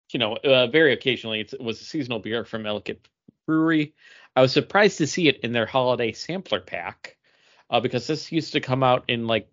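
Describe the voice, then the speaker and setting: A man with a mid-range voice chats casually as a podcast co-host. He wanders through his sentences and corrects himself along the way. The recording is a little dull and lo-fi. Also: mid-range voice. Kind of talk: casual chat among friends.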